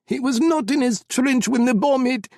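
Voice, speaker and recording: A man's voice: normal speed, no room sound, clean studio sound. A man voicing panicked male fighters crying out over a radio in an audiobook. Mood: frantic. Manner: frantic high shouting.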